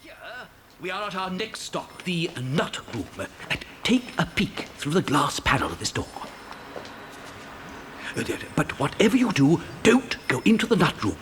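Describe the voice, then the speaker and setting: An actor playing an eccentric, gleeful chocolate factory owner in a children's audio drama, flustered, hesitant and mischievous. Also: male voice, high-pitched, very animated, measured pace. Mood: excited guiding. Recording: faint hiss, 1990 cassette dramatisation.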